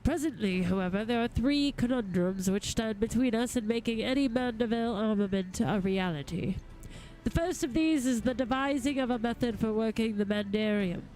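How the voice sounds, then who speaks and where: A woman's voice: fairly high pitch, normal speed, darkish tone, lightly acted, rough recording. Pompous lordly goldsmith for a fantasy game, played by a woman, flowery, theatrical, music underneath. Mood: grandiose. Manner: formal, pompous, precise. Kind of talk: reading fantasy game dialogue aloud.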